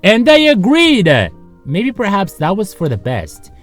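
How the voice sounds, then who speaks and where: Animated, sarcastic male narrator over music. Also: high-pitched, a little slow. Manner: sarcastic, joking, conversational asides to the viewer. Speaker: host of a video series. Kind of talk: comedic scripted narration with casual asides.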